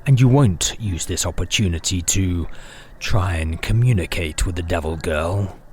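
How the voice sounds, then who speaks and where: A man's voice: sits low, a little mellow, a little hiss or hum, lightly acted. A man playing a silky, calculating cult leader. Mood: probing, silky. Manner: measured, silkily threatening. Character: smooth, calculating male cult leader interrogating a stranger.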